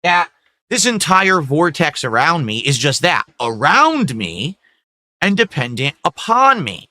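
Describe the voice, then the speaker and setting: A man's voice: fairly high pitch, big pitch swings, unhurried, pushed and bright. A man livestreaming, talking defiantly and at length to his chat, swearing often and reading out tips. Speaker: streamer.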